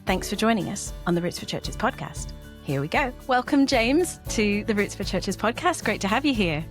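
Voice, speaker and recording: Woman podcast host, clear. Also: mid-range voice, sing-song, moderate pace, soft background music. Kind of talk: scripted intro then interview.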